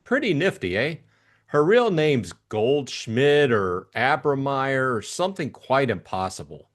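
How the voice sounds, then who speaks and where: A man performs an upper-class young Englishman from a classic mystery audiobook, simple-hearted and eager to please. He gushes and rambles in breezy slang, with very lively swings in pitch. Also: a little slow. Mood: gushing. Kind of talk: audiobook character acting.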